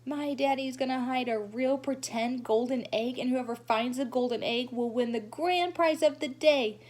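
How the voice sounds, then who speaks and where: A woman's voice: sits high, moderate pace, a little mellow, pitched above the performer's own voice. A woman voicing a vain, gushing little rich girl in a children's storybook reading, with a showy sing-song manner.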